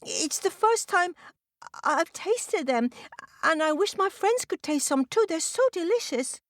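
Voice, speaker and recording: Woman as a meek high-voiced little girl turtle. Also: expressive, a little slow. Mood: timid, pleading. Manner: timid, apologetic, earnest. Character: meek, innocent young female turtle.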